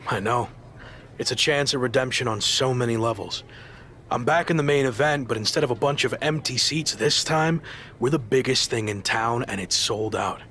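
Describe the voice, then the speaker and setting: An actor playing an earnest, determined pro wrestler on a comeback, talking in a measured, conversational way in a video game cutscene. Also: male voice, medium pitch, pushed and bright, slight background noise, natural voice. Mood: determined, calm.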